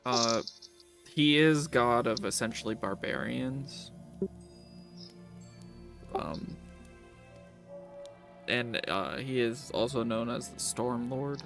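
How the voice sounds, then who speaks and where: A man's voice: medium pitch, slow, creaky, long pauses. A man acting as game master, casually narrating a tabletop game and answering players' questions. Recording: music behind the voice. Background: background music.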